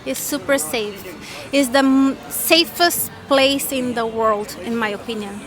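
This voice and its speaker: A woman's voice: sits high, measured pace, music in the background. A middle-aged woman from Brazil, chatting casually as an interviewee outdoors.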